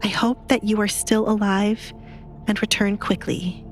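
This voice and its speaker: A woman's voice: medium pitch, fairly even intonation, measured pace, faint music behind, lightly acted. A woman voicing a bitter, defiant young noblewoman in an audio drama, blunt and sarcastic, with light background noise. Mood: bitter, yearning.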